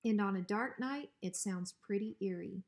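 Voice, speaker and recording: A woman reads a children's story aloud at a middle pitch. Her delivery is expressive scripted narration. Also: moderate pace. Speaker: school principal reading aloud.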